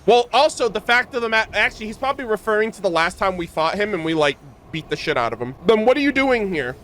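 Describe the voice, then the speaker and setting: High, bright-voiced man streaming. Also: fast talker. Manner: animated, profane slang. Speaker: game streamer. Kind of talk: live reaction commentary, casual chat.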